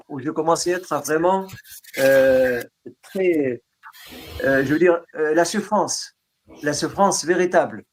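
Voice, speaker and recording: Interview guest: middle-aged man, Arabic accent, slightly higher voice, halting, many fillers. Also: a little hiss or hum. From Syria. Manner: halting, frequent fillers and restarts. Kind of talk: autobiographical interview.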